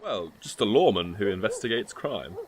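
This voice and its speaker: Casting: male actor as gruffly impatient lawman, animated mid-range voice, busy background chatter, fantasy comedy. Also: fairly low pitch, moderate pace, natural voice. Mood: explaining. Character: surly, foul-mouthed lawman escort, gruffly impatient. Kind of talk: comedic fantasy audio drama acting.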